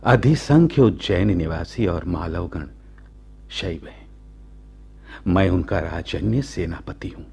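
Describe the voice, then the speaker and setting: A man playing a proud, lovestruck royal commander of ancient India in a radio drama, speaking in measured, halting, formal courtly phrases. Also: low-pitched, darkish tone, full character voice. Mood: proud, earnest. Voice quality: gravelly, husky. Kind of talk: slow, theatrical Hindi radio drama acting.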